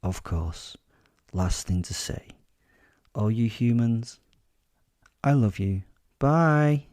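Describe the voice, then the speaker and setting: A man's voice: medium pitch, animated, slow pace, darkish tone, dry recording, studio quality. A man hosting a podcast, speaking informally and directly to his listeners. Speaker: podcast host and narrator.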